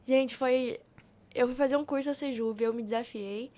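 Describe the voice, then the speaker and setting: High-voiced young Brazilian woman. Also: normal speed. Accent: Brazilian Portuguese. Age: young adult. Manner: animated, informal, acts out quoted speech. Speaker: podcast guest, youth institute member. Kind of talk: casual storytelling on a podcast.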